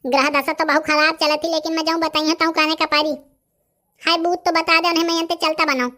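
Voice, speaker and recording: A sly, pompous village baba hands out brusque orders in a Bundelkhandi accent. The cartoon voice is pitched up extremely high, almost childlike. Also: male voice, level tone, quick pace, bright, forceful tone. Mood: scheming, advising. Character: bossy, sly village holy man in a comedy cartoon. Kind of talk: rustic comedy cartoon dialogue.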